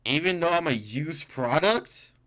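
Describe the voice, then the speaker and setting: A man's voice: on the high side, animated, a little slow, fairly bright tone. A man reading game text aloud as a gaming YouTuber, stumbling and correcting himself, with casual reactions. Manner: stumbling, self-correcting reader.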